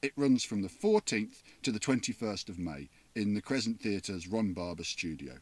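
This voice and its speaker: Low-voiced man interviewing stage actors. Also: expressive, moderate pace, husky. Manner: chatty, asks long rambling questions. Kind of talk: interview questions.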